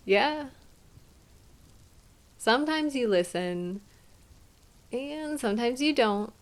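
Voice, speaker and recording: A doting, affectionate girlfriend acted by a woman speaks in an intimate audio roleplay. Her voice sits a little high and moves very slowly. She is soothing and softly playful as she gently coaxes her partner. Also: lively intonation. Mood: gentle coaxing. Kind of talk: intimate roleplay audio, one-sided conversation.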